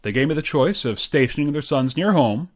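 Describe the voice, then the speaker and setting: A man's voice: on the high side, lively intonation, fairly quick, noisy background, natural voice. A man playing a pompous, stingy, patronizing boss with a hearty manner, in old-time radio sitcom style. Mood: patronizing, confiding. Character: blustery, stingy, patronizing male boss, middle-aged or older.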